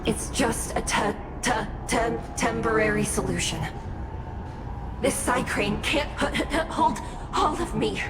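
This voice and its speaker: A timid little bird-bodied sister from a video game, speaks in a fairly high voice. She halts and stammers through her words, hesitant and earnest, over clear background music. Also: unhurried. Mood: struggling, halting. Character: timid dead sister revived in a mechanical bird body. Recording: music behind.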